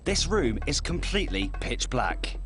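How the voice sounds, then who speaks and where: Man, scripted reality-show narration, animated delivery, clear music underneath. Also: medium pitch, unhurried. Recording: voice-over with loud music behind.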